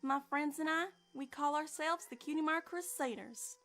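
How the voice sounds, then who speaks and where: An earnest, friendly young cartoon filly, voiced by a woman. The voice is high and youthful, with music playing behind it. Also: moderate pace, a bit bright. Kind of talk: fan-made cartoon dub.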